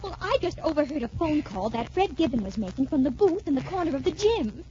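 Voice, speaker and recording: High-pitched, earnest 1940s radio girl. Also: sing-song, fairly quick, warm tone, lo-fi sound. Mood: urgent, pleading. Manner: earnest, urgent, emphatic. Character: earnest, anxious teenage girl, coach's daughter. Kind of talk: 1940s radio adventure acting. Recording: band-limited 1940s radio recording.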